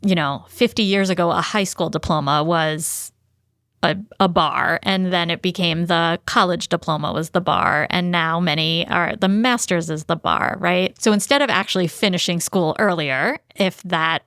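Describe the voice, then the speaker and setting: A woman's voice: lowish voice, normal speed, fairly bright tone, no room sound, clean studio sound. An American woman co-hosting a podcast, explaining things in a casual conversational style. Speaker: podcast co-host.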